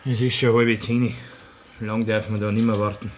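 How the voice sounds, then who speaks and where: An actor with a Bavarian accent playing a dutiful farmhand in a radio play, speaking in a placating way. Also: male voice, on the low side, flat delivery, normal speed, music in the background, lightly acted. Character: earnest young farmhand, dutiful. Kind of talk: 1960s radio play acting.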